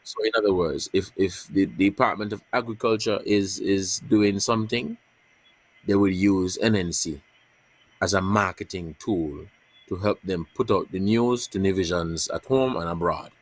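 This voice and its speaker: A man speaks as a journalist guest, his voice sitting very low with a husky, breathy grain. He answers in a measured way, circling back over words before he lands on them. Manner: measured, repeats words while searching for them. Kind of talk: earnest interview answers.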